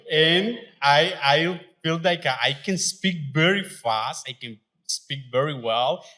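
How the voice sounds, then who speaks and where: Slow, halting man telling his story. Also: fairly high pitch, pushed and bright. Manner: halting non-native English with many fillers and restarts, nervous, laughing. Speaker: adult learner sharing his story. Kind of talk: live speaking at an event. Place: event venue.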